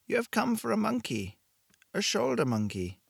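A man voicing a calm, cryptic woman shopkeeper with a vague European accent. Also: lowish voice, vocal fry, slightly rough, dry recording, studio quality, voice pushed up, heavily acted. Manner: measured, formal, slow.

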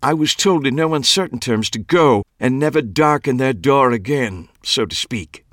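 A man voicing a foppish, theatrical, lanky gossip writer with a flamboyant, drawn-out manner, in an audiobook reading. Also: mid-range voice, expressive, fairly bright tone, no room sound, clean studio sound, voice pushed up, close to an everyday voice. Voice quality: flamboyant, drawn-out, dramatic delivery.

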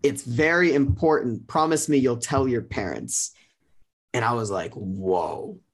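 A man's voice: mid-range voice, unhurried, slightly rough. A man sharing a personal experience as a guest, casual but earnest and emphatic. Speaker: guest sharing his relationship story.

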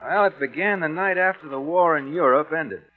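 Actor as slangy American sailor, old radio. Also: male voice, fairly high pitch, close to an everyday voice. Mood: intent storytelling. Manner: colloquial, halting. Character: slangy American ex-Navy radio operator spinning a yarn. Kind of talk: old-time radio drama acting. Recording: muffled vintage radio broadcast, music behind.

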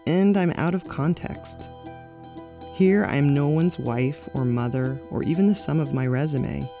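A middle-aged woman hosting her podcast, narrating in a reflective, measured and candid way. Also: sits low, warm tone, soft background music. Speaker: podcast host. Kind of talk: scripted personal narration.

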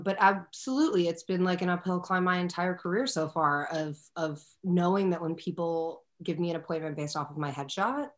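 A woman with a lower, bigger voice, talking candidly and animatedly as a guest in a casual interview, with many "like" fillers. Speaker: guest actor.

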